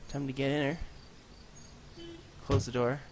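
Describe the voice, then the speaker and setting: A man of middle pitch talks through his game in a loose, rambling way, breaking off into self-mocking asides. His voice is a little congested, and he records in a bare room with no acoustic paneling. A faint hiss sits under the recording. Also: flat delivery, a little slow, darkish tone. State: congested from allergies. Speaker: gaming channel host. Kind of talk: let's play commentary.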